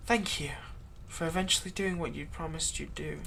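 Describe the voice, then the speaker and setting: A man playing a bitter, taunting former servant who has just become a vampire, speaking in a hushed, menacing way for a roleplay audio drama. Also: on the high side, steady tone, moderate pace, creaky, a little hiss or hum. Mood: bitter farewell. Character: vengeful male former thrall, newly turned vampire, bitter and taunting.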